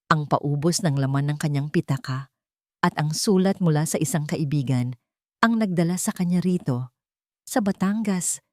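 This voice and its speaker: Woman storyteller, somber, measured, lowish voice, dry close sound. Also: darkish tone, no echo. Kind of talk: scripted narration of a drama story.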